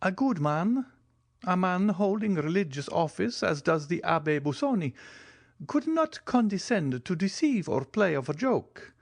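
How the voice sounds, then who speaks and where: A man's voice: high-pitched, very animated, measured pace, gravelly, no echo, pitched above the performer's own voice, exaggerated voice. A man voicing a timid, eager old Italian ex-major who fawns and exclaims. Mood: eager, fawning. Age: older.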